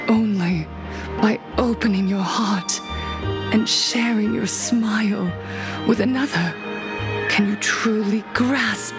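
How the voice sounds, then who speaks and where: An actress playing a fervent woman cult preacher in a game cutscene, impassioned and sermon-like, sinking to whispers, with music behind her. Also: a little high. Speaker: cult leader preaching to a crowd.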